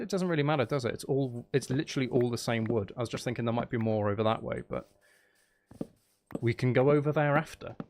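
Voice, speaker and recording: A man's voice: mid-range voice, vocal fry, darkish tone, slight background noise. Guy streaming a game, casual and playful, kinda talking to chat and himself. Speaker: streamer and channel host.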